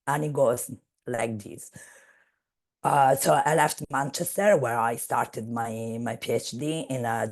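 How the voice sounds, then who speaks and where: Woman speaker on a video call, slow and measured, gravelly and husky. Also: extremely low pitch. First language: Italian. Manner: measured, searching for words. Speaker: guest speaker. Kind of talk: academic webinar talk.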